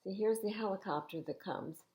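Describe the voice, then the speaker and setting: An older woman reading children's storybooks aloud as a storytime host, expressive and emphatic, with chatty asides to young listeners. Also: medium pitch, moderate pace. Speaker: library storytime reader.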